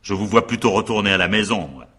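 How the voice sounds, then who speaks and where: An actor playing an examining magistrate in a French radio crime drama, probing and insistent, with a husky voice and pointed tag questions. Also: male voice, fairly low pitch, lively intonation, quick pace, pushed and bright, heavily acted. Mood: insistent, pressing.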